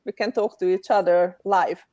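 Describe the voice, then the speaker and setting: A woman's voice: fairly low pitch, steady tone, moderate pace, mellow, highs cut off. Woman, Hungarian accent, guest on a video call, reflective answers. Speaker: guest speaker. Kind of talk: webinar interview.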